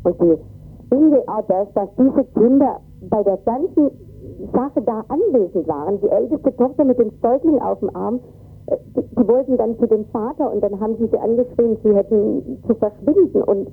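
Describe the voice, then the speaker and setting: A distressed woman giving an agitated, halting eyewitness account in an interview, often repeating words and tagging her sentences with "ne". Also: on the high side, lively intonation, slightly rough, narrow, tinny audio, soft background music. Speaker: interviewee, volunteer refugee worker.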